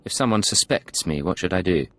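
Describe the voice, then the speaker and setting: Deep-voiced cool secret agent. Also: male voice, lively intonation, fairly quick, fairly bright tone. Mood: cool, wary. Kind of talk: video game voice acting.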